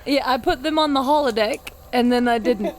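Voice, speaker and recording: A woman's voice: high voice, brisk, a little hiss or hum. A woman playing a tabletop game, chatting casually with playful banter.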